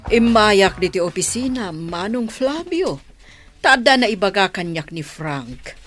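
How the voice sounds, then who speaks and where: A woman's voice: medium pitch, very animated, measured pace, soft background music. A woman playing a worried character in a radio drama, questioning pointedly and expressively. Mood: concerned, questioning.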